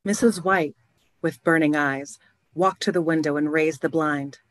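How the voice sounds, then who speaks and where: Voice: medium pitch, measured pace, a bit bright, no room sound, lightly acted. An actor playing a grave, suspenseful storyteller, narrating a horror tale for a radio play in a scripted dramatic style.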